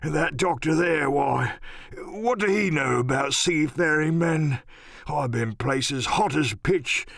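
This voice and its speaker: Gravelly, cantankerous old captain. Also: male voice, fairly high pitch, fairly bright tone, dry recording, studio quality, voice pushed up, full character voice. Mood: indignant, bitter. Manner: rambling, salty seafaring talk, cursing. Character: sick, rum-craving old pirate sea captain, cantankerous and pleading. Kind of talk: audiobook radio-play character acting.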